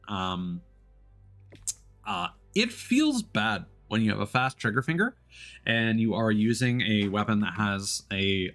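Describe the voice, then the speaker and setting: A man giving casual let's play commentary as a game streamer, talking in halting phrases with frequent pauses. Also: lowish voice, fairly bright tone.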